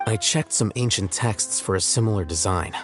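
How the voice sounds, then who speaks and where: Actor as a studious researcher, lowish and measured, score behind. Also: male voice, close to an everyday voice. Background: game music.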